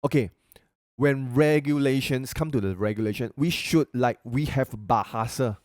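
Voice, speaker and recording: A young man with a Malaysian English accent co-hosting a casual podcast, speaking haltingly and searching for words in broken English. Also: mid-range voice, darkish tone, no room sound, studio quality. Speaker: podcast co-host.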